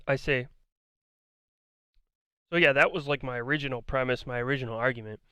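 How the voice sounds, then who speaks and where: A man giving blunt, argumentative, profane commentary, repeating his points, his voice going hoarse. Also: mid-range voice, normal speed, sharp tone, no echo. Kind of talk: ranting commentary on a debate.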